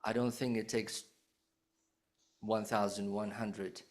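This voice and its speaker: A man speaks at a lowish pitch, joining a conference remotely through a video call. He answers as the keynote speaker in a measured, hesitant way, often pausing on "um" as he thinks. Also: level tone. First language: Russian. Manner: measured, hesitant with many "um" fillers.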